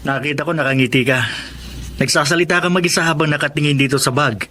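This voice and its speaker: A man's voice: on the high side, fast, pushed and bright, slight background noise. A man playing a playful, confident boss's son in a radio drama, young, with a Filipino accent. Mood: friendly. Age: young adult.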